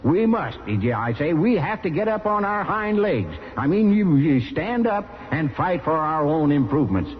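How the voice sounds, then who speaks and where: Man putting on a pompous, high-pitched old political windbag. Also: sing-song, moderate pace, hardly any pauses, big-room sound, slight background noise. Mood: pompous blustering. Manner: stammering, bombastic, self-correcting. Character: blustering old political orator, pompous and halting. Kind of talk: old-time radio parody speech. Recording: dull vintage radio recording.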